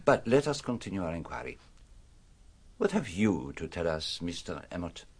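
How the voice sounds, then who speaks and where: An actor playing a shrewd, courteous detective, formal and deliberate in a radio drama. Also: male voice, sits low, sing-song, slightly rough, full character voice. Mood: measured, insistent. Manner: formal, deliberate, inverted foreign phrasing. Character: shrewd, courteous foreign detective, probing and commanding man.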